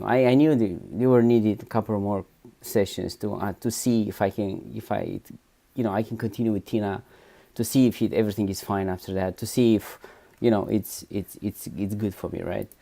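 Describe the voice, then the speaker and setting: A man with a Romanian accent talks through a personal testimonial in a low, gravelly voice. His tone is dark and mellow. He hesitates, repeats words and restarts often, checking in with "right?". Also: fairly even intonation, brisk. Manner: hesitant, many restarts and fillers, frequent "right?" tags. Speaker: therapy client giving a testimonial. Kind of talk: unscripted spoken testimonial.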